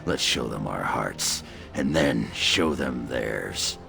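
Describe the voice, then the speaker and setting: An actor playing a crude, gruff dwarf warrior in a video game, with a blustering manner. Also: male voice, rumbling low, expressive, slow, music in the background, heavily acted. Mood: gruff salute.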